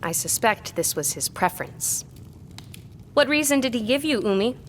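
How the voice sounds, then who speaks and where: An actress playing a composed, guarded historian in a gothic period audio drama, measured and earnest in her delivery. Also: fairly high pitch, animated, slight background noise, natural voice. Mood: composed, polite. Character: composed, guarded female historian, quietly apologetic.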